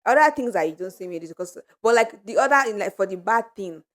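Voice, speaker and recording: A woman co-hosting a casual podcast chat with friends, telling animated stories and laughing often. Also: mid-range voice, quick pace. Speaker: podcast co-host.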